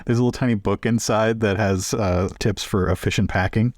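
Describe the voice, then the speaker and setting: A man talks casually as a player in a tabletop game, describing what his character does. His voice is deep and the manner conversational. The sound is close and dry. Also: steady tone, brisk, a little mellow, no room sound. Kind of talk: casual game chat and narration.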